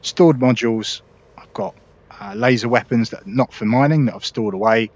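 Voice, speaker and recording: A man hosting a casual gameplay tutorial, relaxed and explanatory, talking viewers through each step. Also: medium pitch, moderate pace, dull audio. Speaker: gaming video host.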